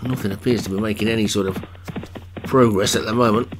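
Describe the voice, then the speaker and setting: Slightly low man calling a game horse race. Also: steady tone, fast, background noise. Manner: chatty, asides between race calls. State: voice getting croaky. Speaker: race commentator for an online game league. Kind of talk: live horse race commentary.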